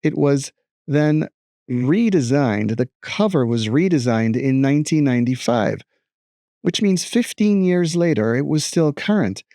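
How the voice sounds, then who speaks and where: Male teacher, middle-aged, mellow tone, lively intonation, read-aloud with wry, expressive commentary. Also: on the high side, dry recording, studio quality. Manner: expressive, deliberate emphasis with wry asides.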